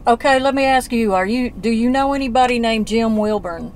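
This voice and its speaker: A woman's voice: a little high, fairly quick, slight background noise. A woman, questioning a man on a phone call in a blunt, folksy, persistent way. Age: 40s. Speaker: relative investigating a disappearance. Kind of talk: casual phone conversation.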